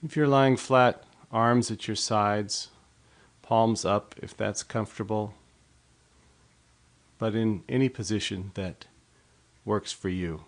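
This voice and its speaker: A man leading a guided body scan meditation in a slow, soft, unhurried way. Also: fairly low pitch, steady tone.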